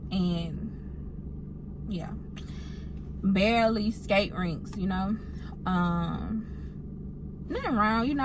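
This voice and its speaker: Southern mississippi mom just rambling in the car, lots of um and but yeah, road noise real loud behind her. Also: medium pitch. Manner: relaxed, rambling, many fillers. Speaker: mom vlogger. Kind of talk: casual chat while driving. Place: inside a car. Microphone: phone camera on a stand.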